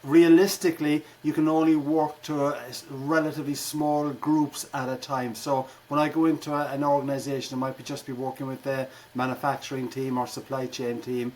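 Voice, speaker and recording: A man's voice: mid-range voice, level tone, a little fast, few pauses, a little mellow, rough recording. A middle-aged or older man with an Irish accent, a keynote speaker answering conversationally in an interview with wry, jokey turns of phrase. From Ireland.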